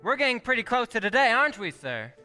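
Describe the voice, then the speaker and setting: An actor playing a naive, curious trainee angel in a 1940s-style radio play, eagerly questioning. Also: male voice, very high-pitched, very animated, quick pace, bright, forceful tone, heavily acted. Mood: eager, impatient. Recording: live stage taping in echoey hall, music.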